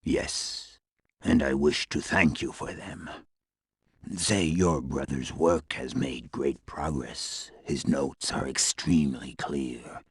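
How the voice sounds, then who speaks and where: Suave evil guy in a game, super deep husky voice, slow, formal and condescending, coldly polite. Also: steady tone, dry recording, clean studio sound, exaggerated voice. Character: menacing, suave male villain, coldly polite. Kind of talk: video game voice acting.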